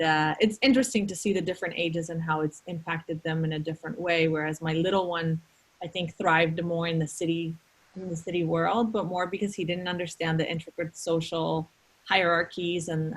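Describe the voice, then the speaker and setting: Woman from colombia, kinda husky, a bit low, chatting thoughtfully with lots of restarts. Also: low-quality recording. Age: adult with children. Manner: reflective, thoughtful, many restarts. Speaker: consulting artist. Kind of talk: casual conversation.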